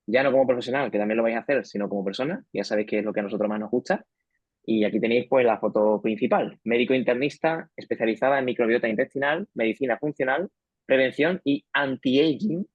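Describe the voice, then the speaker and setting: A man from Spain with a Spanish accent, hosting an online colloquium over a video call, brisk and friendly with the audience. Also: mid-range voice, no room sound. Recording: dry, tinny phone-like audio.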